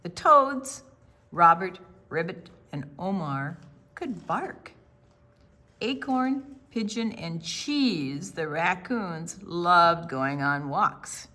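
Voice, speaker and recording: A woman reads a picture book to young children as a storytime host. Her voice sits a little low and swings widely in pitch. She takes her time, shaping each phrase theatrically and deliberately. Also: animated, pushed and bright.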